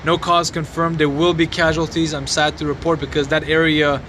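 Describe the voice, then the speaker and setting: A man livestreaming from his phone outdoors at night, answering viewers' questions in a halting, sombre way with many restarts. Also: a little high, little pitch movement, fairly bright tone. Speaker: livestreamer reporting a fire. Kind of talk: live phone stream, answering viewer comments. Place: outdoors in a city compound at night. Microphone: phone mic. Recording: noisy outdoor phone stream.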